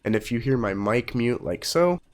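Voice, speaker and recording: A man's voice: mid-range voice, lively intonation, normal speed. A man getting over a cold, giving casual let's play commentary as host of a gaming channel, explaining things in an informal, rambling way.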